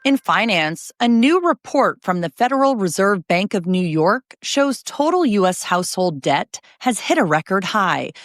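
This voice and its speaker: A woman in her thirties hosts a daily news podcast, moving through headlines in a bright, conversational read with the odd light aside. Her voice sits a touch on the low side. The recording is dry and close, with no room sound at all. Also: dry recording. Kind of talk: scripted news reading.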